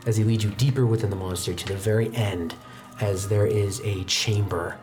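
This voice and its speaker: A man's voice: fairly low pitch, steady tone, fairly quick. A man narrating a tabletop roleplaying game as game master, with dramatic description and casual asides. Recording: background music under the voice.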